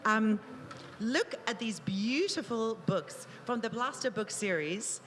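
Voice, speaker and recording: Woman festival host, slightly croaky, gushing intros, echoing hall. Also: fairly high pitch, lively intonation, slow pace, a bit bright. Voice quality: a little croaky. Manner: gushing, enthusiastic. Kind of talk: live stage introduction. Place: festival stage in a large hall. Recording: reverberant hall, faint noise.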